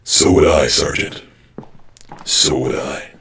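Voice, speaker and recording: An actor playing a grave, commanding masked vigilante in an old-time radio drama, with a gravelly voice. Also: male voice, very deep voice, slow, fairly bright tone, slight background noise, exaggerated voice. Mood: grave, quiet.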